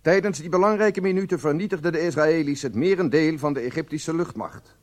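An actor playing a proud, solemn head of a secret service, narrating a radio drama in a formal, measured way. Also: male voice, a little high, a little mellow, lightly acted. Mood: solemn, factual. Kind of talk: scripted first-person radio drama narration.

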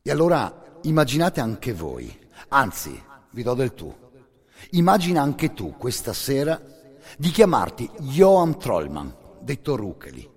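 Gravelly man, intense theatrical monologue. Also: medium pitch. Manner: intense, theatrical storyteller, swelling from hushed to forceful. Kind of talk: dramatic scripted radio theatre monologue. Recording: long hall reverb.

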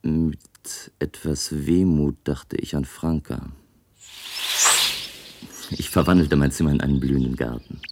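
An actor playing a wistful, stubborn male inventor who narrates a radio play in a measured, reflective way. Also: very deep voice, fairly even intonation, vocal fry, very noisy, exaggerated voice. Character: male inventor, wistful, stubborn, recounting his bold project. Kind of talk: German radio play acting.

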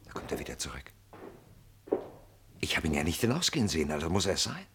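An actor in a radio play playing a haunted, weary older man who is defensive and brooding, swinging between murmurs and sharp outbursts, with a rough voice. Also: extremely low pitch, huge pitch swings, fast, heavy background noise, heavily acted. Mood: tense.

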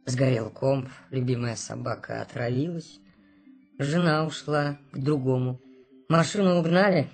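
A man's voice: mid-range voice, unhurried, natural voice. An actor in a radio play playing a whimsical unborn man who broods on life in terse, wistful phrases. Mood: gloomy. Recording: music behind the voice.

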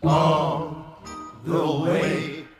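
An actor playing a sailor who sings out a sea shanty in a radio drama. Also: male voice, on the high side, flat delivery, extremely slow. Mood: rousing. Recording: distant, very echoey, loud music.

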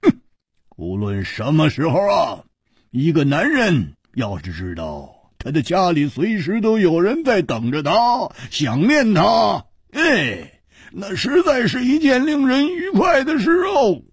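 A man's voice: high voice, big pitch swings, slow pace, husky, dry recording, clean studio sound, pitched above the performer's own voice, exaggerated voice. A man voicing a hearty, blunt, sentimental hard-drinking adventurer in a storybook, with sighs and muttered interjections. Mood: wistful. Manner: sighing, muttering, full of interjections. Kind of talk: audiobook character voice.

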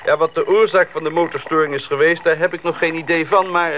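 A man's voice: a little high, fairly even intonation, fast talker. A man playing a composed bush pilot in a radio play, reporting in clipped procedure talk over a two-way radio. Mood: calm. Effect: two-way radio filter. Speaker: radio drama actor. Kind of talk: radio drama acting. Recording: noisy, thin phone-like radio sound.

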